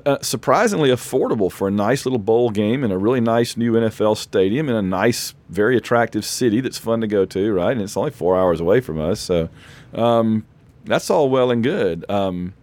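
Scratchy-voiced podcast host. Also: male voice, on the low side, a little mellow. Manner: rambling, self-deprecating. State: losing his voice, scratchy. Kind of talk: casual sports podcast chat.

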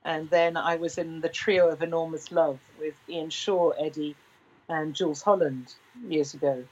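A woman in her sixties, a singer with a deep baritone voice, telling stories in an interview with many restarts. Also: fairly even intonation, normal speed, darkish tone, lo-fi sound. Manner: rambling, with frequent restarts. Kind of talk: relaxed conversational storytelling.

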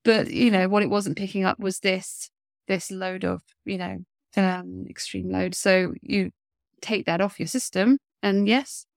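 A woman's voice: mid-range voice, steady tone, unhurried, creaky, no room sound, clean studio sound. A woman in her forties, a coach speaking as an interview guest, talking earnestly with a blocked-up nose and many fillers. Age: 40s. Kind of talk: casual interview chat.

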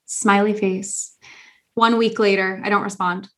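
A woman co-hosting a podcast in a casual chat, animated, laughing often and talking with many fillers. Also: medium pitch, unhurried. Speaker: podcast co-host.

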